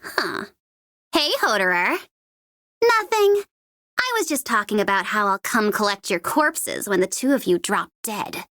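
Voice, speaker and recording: Cocky, animated young female mercenary. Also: medium pitch, unhurried, no room sound, studio quality, heavily acted. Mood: sardonic. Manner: taunting, sardonic, playful. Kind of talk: video game character voice lines.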